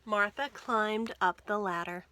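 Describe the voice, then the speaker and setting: Slow, bright-voiced woman reading to children. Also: mid-range voice. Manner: slow, careful, expressive delivery for young children. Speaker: children's librarian and storyteller. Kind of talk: animated picture-book read-aloud for children. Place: small indoor room lined with bookshelves.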